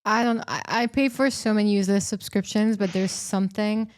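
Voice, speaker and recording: A woman's voice: medium pitch, steady tone, brisk, faint music behind. Giggly woman hosting a livestream. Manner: giggly, laughs often. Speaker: livestream host. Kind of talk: casual chat.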